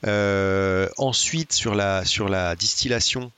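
A man telling stories in a casual chat, hesitant, with many pauses and restarts. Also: lowish voice, fairly bright tone, clean tone. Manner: hesitant, many filler sounds and restarts, storytelling. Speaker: podcast guest.

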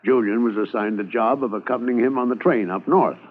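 A man narrating a 1950s radio drama in a lively storytelling way. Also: mid-range voice, fast talker. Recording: tinny old radio transcription.

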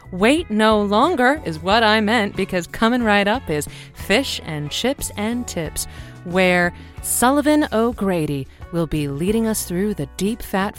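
Sassy, theatrical radio advice hostess. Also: female voice, medium pitch. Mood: flirty showmanship. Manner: theatrical, sardonic, wordy. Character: sassy, blunt female radio advice show host. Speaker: call-in radio host character. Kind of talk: semi-improvised audio drama, radio host patter.

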